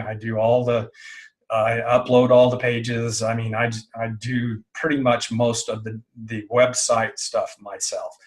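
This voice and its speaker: Man, middle-aged, casual interview guest, halting delivery, repeated words, medium pitch. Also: rough recording. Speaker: interview guest, online business owner.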